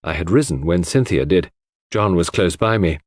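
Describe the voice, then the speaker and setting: A man narrates a literary story in the first person. His voice sits low and moves with a wide, animated range. The sound is dry and close. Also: moderate pace, no echo. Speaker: audiobook narrator.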